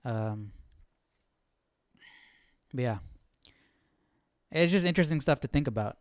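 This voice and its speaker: A man hosts a study stream in a casual, questioning way. His voice is clean and smooth at an ordinary male pitch. The sound is dry, with no room echo, as he thinks aloud. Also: animated, slow pace. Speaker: livestream Bible study host. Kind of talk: casual, musing chat.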